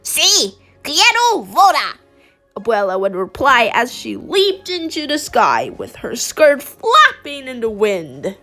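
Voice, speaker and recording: A woman reading a picture book aloud in a gentle, expressive way. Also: fairly high pitch, unhurried, few pauses, soft background music. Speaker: storybook reader.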